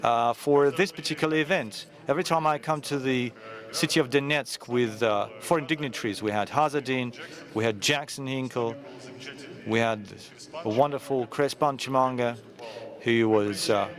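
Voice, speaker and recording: A man speaks at a forum in a mid-range voice, keeping his remarks loose and informal. He pauses often and restarts his sentences as he finds his way. He addresses the room as a journalist organizing the event. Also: medium pitch, a bit bright. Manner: hesitant, with frequent pauses and restarts. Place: large conference hall.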